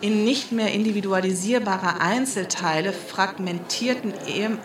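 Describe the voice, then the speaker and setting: Actress as a stiff official, echoey and distant. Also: female voice, medium pitch, normal speed, natural voice. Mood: flustered, stumbling. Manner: stiff officialese, stumbling over the text. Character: female official reading a callous bureaucratic statement, flustered by script. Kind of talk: formal press statement in a radio play. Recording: echoey, distant microphone with crowd noise.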